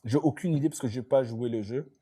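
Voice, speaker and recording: A young Québécois man with a mellow, warm mid-range voice, chatting in a relaxed way on a podcast. He often repeats himself and slips English slang into his French. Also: medium pitch, a little fast, breathy edge. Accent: Quebec French. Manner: laid-back, repeats words, English slang tossed in. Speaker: podcast co-host. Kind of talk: casual chat.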